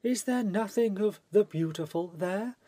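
A vivacious society lady of the stage, put on by a male audiobook reader, gushing and theatrical. The voice is lifted high and light, and taken at an unhurried pace. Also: warm tone, no echo. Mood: amused.

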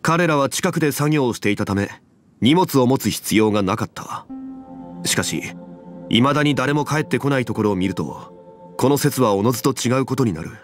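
Man playing a calm, dignified, analytical gentleman. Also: mid-range voice, faint music behind, lightly acted. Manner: measured, formal, deliberate reasoning. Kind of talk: Japanese anime game voice acting.

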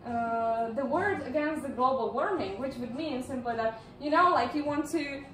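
Brief: young woman speaker, high voice, chatty with fillers, recorded from afar in a roomy hall. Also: moderate pace, fairly bright tone, a little hiss or hum. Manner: chatty, laughs often, many fillers. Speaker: speaker at a meetup. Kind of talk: casual presentation talk. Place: large indoor room with projector screen. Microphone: far camera, no visible mic. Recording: echoey room audio from far off.

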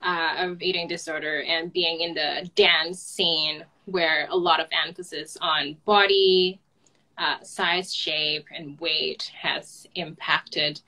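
A woman in her twenties from Hong Kong with a Hong Kong accent, telling her story softly as an interview guest on a webcam at home. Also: lowish voice, slow, rough recording. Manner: soft-spoken, light laughs, simple phrasing. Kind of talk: personal story in a video call. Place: home room, indoors. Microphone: video call webcam.

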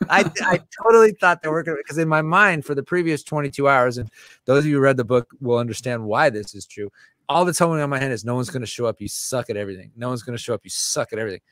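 A man, talking candidly as an interview guest, animated and self-deprecating, close to a studio mic at home. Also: fast talker, dry recording. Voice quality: scratchy low voice. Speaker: musician and author, guest. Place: home room with a studio microphone. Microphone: large studio condenser mic on a stand.

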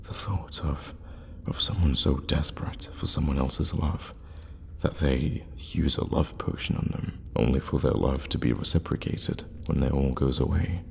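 A man playing an evil, sadistic warlock in an ASMR fantasy roleplay, teasing and menacing, often slipping into a breathy whisper. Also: a bass voice, full character voice. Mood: mocking, sadistic. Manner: slow, teasing, drawn-out hums and dark chuckles.

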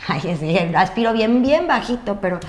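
A Mexican woman in her thirties chatting casually as an interview guest, informal, with drawn-out words and pauses. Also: mid-range voice, big pitch swings. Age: 30s. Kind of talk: casual chat. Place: indoor studio set at a desk. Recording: roomy sound, noticeable background noise.

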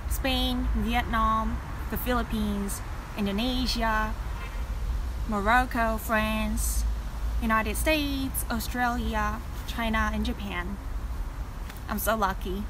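A young Korean woman with a slightly high voice reads out viewer questions and answers them cheerfully. She speaks English with her native accent, recorded on a camera in front of her in a small bright room. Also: background noise. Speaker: language vlogger. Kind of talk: casual Q&A to camera.

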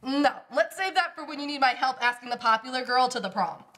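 A young woman playing an excitable, scheming, teasing sister with theatrical emphasis in a comedy table read. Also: sits high, fast. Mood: scheming, playful. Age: young adult. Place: small indoor room at a table.

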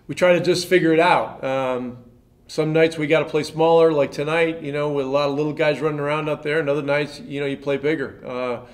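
Older male coach at a post-game presser, a touch high-pitched, halting with fillers, close mic. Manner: measured, many fillers and restarts. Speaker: head coach at a press conference. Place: press room in front of a sponsor backdrop.

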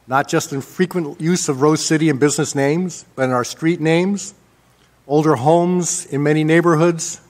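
A man in his sixties with an American accent gives measured mayoral remarks at a public meeting, pausing often as he works from notes. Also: a little high. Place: indoor council chamber. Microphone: gooseneck desk microphone.

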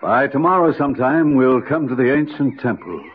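An actor playing a cautious, kindly older archaeologist uncle, hesitant and explanatory, in an old radio drama. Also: male voice, medium pitch, normal speed, slightly rough, natural voice. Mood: earnest warning. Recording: noisy, narrowband old radio recording.

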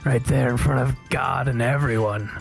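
A man playing a video game and riffing with a co-host, improvising silly gravelly character voices. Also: mid-range voice, steady tone, moderate pace, faint music behind. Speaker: game player. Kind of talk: comedic let's play banter.

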